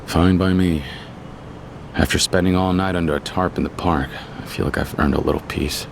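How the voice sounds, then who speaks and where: A man acting a stoic, gruff young yakuza on the run in an English video game dub, speaking in terse, blunt, guarded lines. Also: steady tone, moderate pace, noisy background. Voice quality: very deep, low voice.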